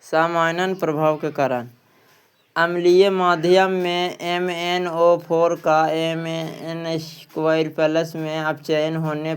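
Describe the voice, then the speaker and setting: A man's voice: on the high side, clear, resonant tone. A man reads out practice questions and answers as an exam coaching teacher, repeating phrases for emphasis.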